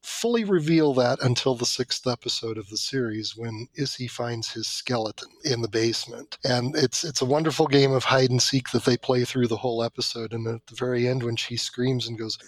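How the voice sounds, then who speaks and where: A man's voice: mid-range voice, brisk, hardly any pauses, no echo, poor audio. Guy being interviewed, mid voice, dry room, explains stuff like telling a story. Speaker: interview guest, audio drama creator. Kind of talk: conversational storytelling.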